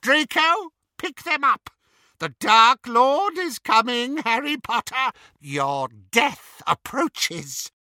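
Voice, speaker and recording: A man's voice: sing-song, slow pace, pushed and bright, no echo, clean studio sound, heavily acted. A man voicing a cruel, menacing witch who sneers and shrieks, raising his voice well above his own. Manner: sneering, shrill. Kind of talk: audiobook character voice.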